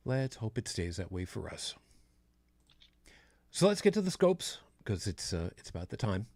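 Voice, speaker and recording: A man's voice: lowish voice, sing-song, normal speed, gravelly, darkish tone, no room sound. A man in his fifties hosting a podcast, chatting casually in a rambling way with many restarts. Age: 50s. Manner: rambling, frequent restarts and fillers. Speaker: podcast host. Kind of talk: casual chat.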